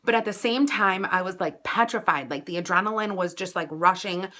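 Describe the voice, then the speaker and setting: An American woman chats to her viewers as a vlogger telling a story from her own life. Her voice is bright and punchy. She is animated and casual, leaning into dramatic emphasis as she relays who said what. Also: mid-range voice, brisk. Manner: animated, chatty, dramatic emphasis with acted-out quotes. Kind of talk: casual personal storytelling to camera.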